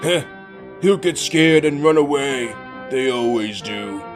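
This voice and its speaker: A man's voice: mid-range voice, animated, slow pace, faint music behind. A man playing a smug, stubborn, scornful steamroller in a fan comic dub.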